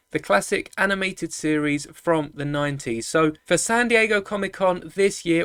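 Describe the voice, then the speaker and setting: A man's voice: on the high side, fairly bright tone, dry recording, studio quality. A man hosting a hobby showcase video, chatting to viewers in rambling explanations. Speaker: YouTube host of a toy-building channel. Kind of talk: enthusiast video presentation, product showcase.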